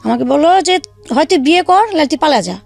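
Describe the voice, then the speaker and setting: A woman telling her own life story in a halting way, with many fillers and restarts. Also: sits high, expressive, creaky, darkish tone, soft background music. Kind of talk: emotional personal storytelling.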